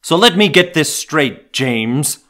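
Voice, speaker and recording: A man playing a pompous, sharp-voiced city mayor. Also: a little high, animated, slow pace, exaggerated voice. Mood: indignant. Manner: lecturing, domineering. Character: pompous, combative male big-city mayor. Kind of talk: fan-made audio drama acting.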